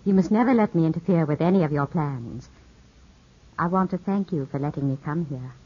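An actress playing a gracious, gently teasing widow in a 1950s radio drama, speaking in a composed, courteous way. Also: female voice, deep voice, brisk, husky. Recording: muffled 1950s radio recording.

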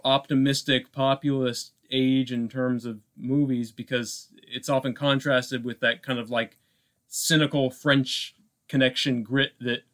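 A man co-hosting a podcast, giving casual film commentary in a halting, thoughtful, wordy way. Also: fairly high pitch, fairly bright tone. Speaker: podcast co-host.

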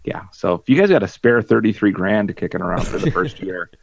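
A man's voice: fairly low pitch, animated, fairly quick, creaky, a crowd in the background. A man in his forties chatting casually as a podcast co-host, rambling and self-deprecating with lots of fillers. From Minnesota. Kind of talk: casual chat. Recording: dull lo-fi dry audio.

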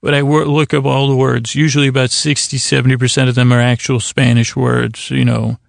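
A male podcast host with a creaky, mid-pitched voice talks in a casual bedtime ramble. His intonation stays fairly flat as he wanders, stammers and circles back on his own phrases. He is self-deprecating, and the sound is close and dry. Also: no echo. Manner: meandering, halting, stammering and repeating himself.

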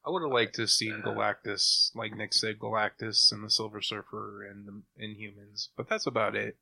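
A man's voice: on the low side, fairly even intonation, fairly quick, creaky, pushed and bright, dry recording, poor audio. A man co-hosting a podcast in casual chat, often with short, wry interjections. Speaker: podcast co-host.

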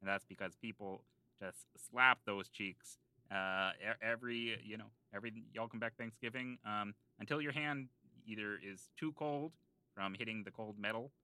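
A man plays an earnest local history buff on a comedy podcast. His low voice is very bright and crisp, and he rambles through hesitant, mock-scholarly explanations. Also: moderate pace, poor audio. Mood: earnest, rambling. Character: rambling, self-serious small-island local man explaining town lore. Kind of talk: improvised comedy podcast banter in character.

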